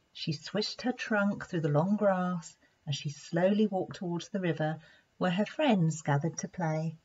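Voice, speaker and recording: A woman narrates a story for children with expressive, deliberate delivery. Her voice sits on the low side and has a clearly husky edge. The recording is dull and lo-fi. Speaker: play therapist reading a children's story. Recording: dull lo-fi sound.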